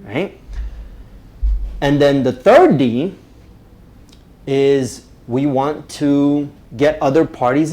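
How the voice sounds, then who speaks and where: Male workshop instructor, upbeat and pitched a touch high, keeps checking in with the room. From Romania. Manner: energetic, checks in with "right?" constantly. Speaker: public speaking instructor. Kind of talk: live workshop talk to a group.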